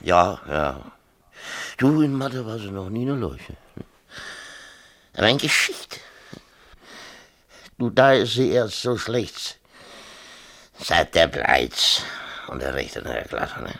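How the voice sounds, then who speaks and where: An actor playing a defensive, self-pitying middle-aged small-town mayor and father in a radio play, talking in a halting, colloquial way and repeating himself. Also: fairly low pitch, very animated, vocal fry, a bit bright, exaggerated voice. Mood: aggrieved, rueful. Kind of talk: 1970s German radio play acting.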